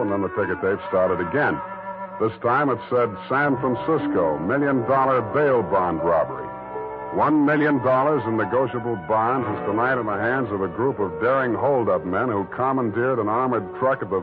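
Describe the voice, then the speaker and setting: A man acts out a hard-boiled American private eye from 1940s radio, cocky and deadpan, wisecracking in a low voice. The recording is tinny and band-limited, with loud music. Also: normal speed, natural voice. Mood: sardonic narrating. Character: wry, hard-boiled male private detective, unflappable and cocky. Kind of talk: 1940s radio drama acting and first-person narration.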